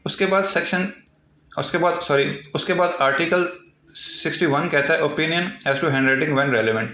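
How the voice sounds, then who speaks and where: A man with a Pakistani accent giving an explanatory law lecture, going step by step with repetition and reading formal passages aloud slowly and haltingly. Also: fairly high pitch, bright, forceful tone, slight background noise. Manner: slow, halting when reading English aloud. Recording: echoey room.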